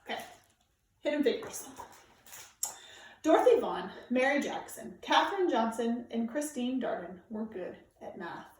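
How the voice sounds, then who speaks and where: A woman reading aloud to children like a teacher, with clear, deliberate, emphatic phrasing. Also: a little high, expressive, a little mellow. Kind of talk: read-aloud storytelling for children, informal introduction. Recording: very echoey room, distant microphone.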